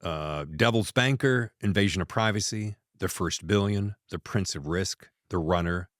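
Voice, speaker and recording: A man's voice: low-pitched, normal speed, a bit bright, no echo, studio quality. A man in his sixties hosting a casual podcast interview, asking questions with enthusiasm. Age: 60s. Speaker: podcast host.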